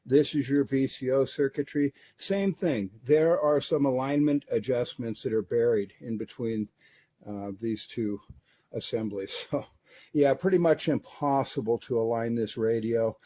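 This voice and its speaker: A man casually reviewing and testing a vintage radio in his workshop, relaxed and peppered with filler words. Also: mid-range voice, a little slow.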